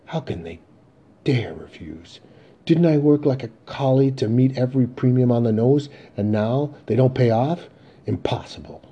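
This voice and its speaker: A desperate aging salesman in a stage drama, performed by a male reader, rambling and insisting. His husky voice is very mellow and keeps pleading, circling back over the same words. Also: on the low side, normal speed, lo-fi sound. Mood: indignant.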